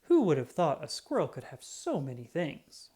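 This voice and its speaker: A woman reads a children's picture book aloud with a low, mellow voice. Her intonation is lively and animated, shaped simply and expressively for young listeners. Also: moderate pace, husky. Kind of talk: scripted children's storybook read-aloud.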